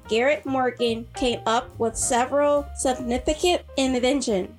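A woman presenting a scripted history segment on a podcast. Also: sits high.

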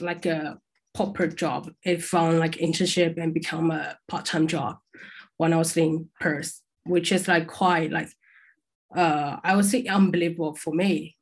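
A young woman from China speaks on an online panel in a lowish voice with heavy vocal fry. Her delivery is chatty, and "like" comes up constantly. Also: rough recording. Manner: chatty, frequent "like" fillers. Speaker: alumni panellist, former international student. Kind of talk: panel discussion answer.

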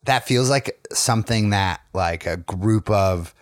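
Low-voiced young American man. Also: slow pace, no room sound, studio quality. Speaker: podcast co-host. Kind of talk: casual chat.

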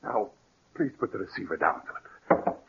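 An actor playing a scheming, falsely solicitous murderer in a 1940s radio mystery, with theatrical, hesitant politeness and a coaxing undertone. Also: male voice, sits low, expressive, normal speed, creaky, husky, exaggerated voice. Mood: urgent, coaxing. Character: smooth, scheming male murderer, nervy yet falsely solicitous. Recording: muffled, lo-fi 1940s radio recording.

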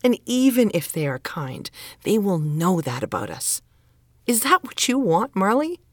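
A woman's voice: mid-range voice, big pitch swings, a little slow, no echo, clean studio sound. A woman playing a stern, exasperated middle-aged mother who holds to tradition, in a naturalistic audio drama, insistent and scolding. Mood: angry, scolding. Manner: insistent, guilt-laden pleading and scolding.

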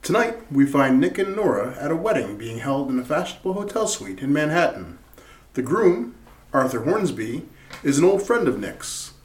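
A man announcing and narrating the opening of a 1940s-style radio play in a measured, formal way. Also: a little high, very animated. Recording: echoey room, distant mic.

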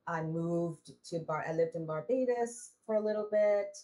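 A woman's voice: on the low side, moderate pace, warm tone. Reflective woman telling stories. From Trinidad. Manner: reflective storytelling with frequent laughs and restarts. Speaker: guest. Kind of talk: casual interview conversation.

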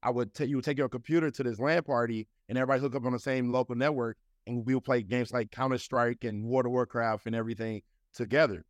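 A man's voice: medium pitch, fairly even intonation, fast, few pauses, dry recording. Rambling man in his thirties, dry sound. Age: 30s. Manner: loose, rambling, heavy with fillers like "you know" and "like". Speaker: interview guest. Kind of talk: casual conversational interview.

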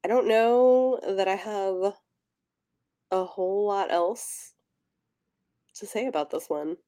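A woman's voice: mid-range voice, takes it slowly, dark tone, dry recording, clean studio sound. A woman co-hosting a podcast in a casual book discussion, speaking tentatively and often correcting herself as she goes. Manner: hesitant, self-correcting, tentative phrasing. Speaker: podcast co-host.

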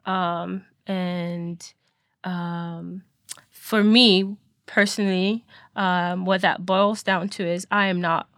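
Podcast guest: woman raised in Nigeria, lower voice, dry close sound, thoughtful, emphatic delivery. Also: level tone, slow pace, a bit bright, no echo. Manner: reflective, emphatic, many fillers. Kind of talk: conversational discussion.

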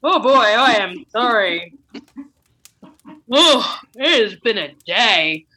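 Woman doing a dithering old wizard man, high-pitched, bright, apologetic, exclamatory, comedy sketch. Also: expressive, slow pace, exaggerated voice. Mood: flustered, apologetic. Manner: dithering, exclamatory, absent-minded. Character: scatterbrained, apologetic old male wizard headmaster.

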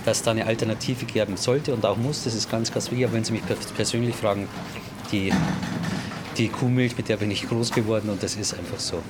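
Traffic and city noise surround a man with a fairly low voice. He answers spontaneously in an outdoor street interview. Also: fairly even intonation, brisk. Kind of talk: spontaneous vox pop answer. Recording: noisy urban street with traffic.

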